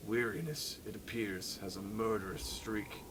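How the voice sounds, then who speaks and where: A man's voice: lowish voice, fairly even intonation, noisy background, voice pushed down, lightly acted. A man voicing a weary, vengeful lone warrior in a solemn, dramatic read-along of a game journal. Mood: grim, weary. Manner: solemn, dramatic, slow declaiming.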